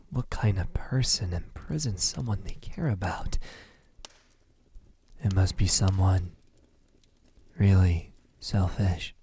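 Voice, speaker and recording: A man playing a lovesick, possessive, centuries-old vampire in an ASMR roleplay, brooding and wounded, in a husky, breathy voice that often sinks to a whisper, with halting pauses. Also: on the low side, dark tone, a little hiss or hum. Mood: brooding, bitter. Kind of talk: ASMR roleplay, slow dramatic monologue.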